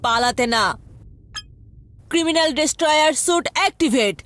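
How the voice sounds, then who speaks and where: A woman's voice: high-pitched, animated, moderate pace. A woman playing a brave, confident young boy hero in a children's cartoon, speaking in bold declarations. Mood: determined. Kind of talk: dubbed children's cartoon acting. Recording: music behind the voice.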